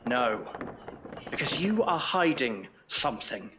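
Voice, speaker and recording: A man playing a weary, earnest Victorian gentleman in an audio drama, formal and probing. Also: medium pitch, very animated, slow pace, background noise. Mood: pleading, insistent.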